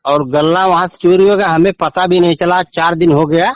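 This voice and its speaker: A man with an eastern Uttar Pradesh accent, a villager making a complaint over a recorded phone call, speaking haltingly and respectfully. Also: high voice, steady tone, no echo. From Azamgarh region, India. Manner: deferential, halting. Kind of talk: answering questions in a phone call.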